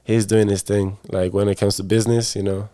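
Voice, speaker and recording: A man talking as a podcast guest in a relaxed, casual interview, often repeating his phrases. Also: fairly low pitch, fairly quick. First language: English. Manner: laid-back, repeats himself.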